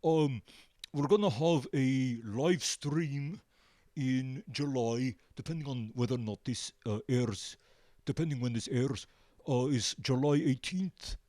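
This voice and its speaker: A man answering casually as a musician being interviewed, hesitant and pausing often. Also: mid-range voice, darkish tone, no room sound, clean studio sound. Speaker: band member, interview guest.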